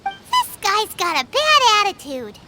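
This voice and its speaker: Squeaky, tiny childlike sprite. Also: female voice, huge pitch swings, takes it slowly, heavy background noise, heavily acted. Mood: indignant. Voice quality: squeaky, cutesy. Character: tiny childlike female companion sprite, perky and indignant. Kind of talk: video game voice acting.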